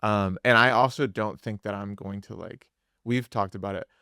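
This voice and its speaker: A man's voice: on the low side, fairly even intonation, moderate pace, sharp tone, clear, resonant tone, no room sound, clean studio sound. A young man, a musician co-hosting a podcast, chatting casually and rambling with lots of filler words. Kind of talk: casual chat.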